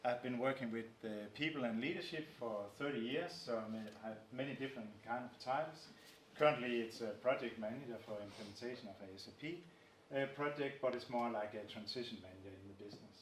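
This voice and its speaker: A man's voice: medium pitch, fast talker, hardly any pauses. A man of about fifty gives a plain, matter-of-fact self-introduction. He is heard from a distance in an echoey room with faint noise. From Denmark. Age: 50s. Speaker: project manager.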